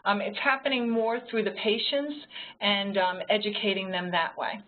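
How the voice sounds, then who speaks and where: A woman panelist answering questions informally over the phone, rephrasing haltingly as she explains. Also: medium pitch. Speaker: panelist, home health professional. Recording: phone-like line with faint noise.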